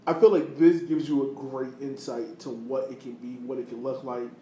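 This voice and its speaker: A man's voice: mid-range voice, little pitch movement, moderate pace, dark tone. A man hosting a soccer podcast in casual conversation, often dropping in "you know" as he talks. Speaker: podcast host. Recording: roomy echo, clear background noise.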